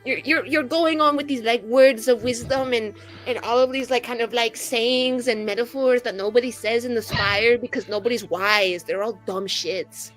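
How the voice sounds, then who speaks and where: A woman's voice: on the high side, normal speed, few pauses, soft background music, natural voice, poor audio. A woman voicing a brash, foul-mouthed young female mage of twenty-two in a rambling, dramatic tabletop roleplay. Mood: petulant. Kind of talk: improvised in-character roleplay.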